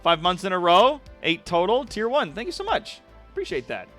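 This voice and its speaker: A man's voice: sits high, very animated, moderate pace, a bit bright, faint music behind. A man hosting a livestream, giving a dramatic reading of fan fiction with wry, sweary asides to viewers. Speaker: livestream host.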